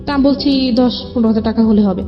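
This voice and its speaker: A young Bangladeshi woman with a fairly high voice shares a personal story as a guest. She speaks colloquially, relaying conversations as she goes. The sound is slightly dull and lo-fi, with music playing underneath. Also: fast talker. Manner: colloquial, recounts dialogue as reported exchanges. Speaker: guest telling her life story. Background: background music.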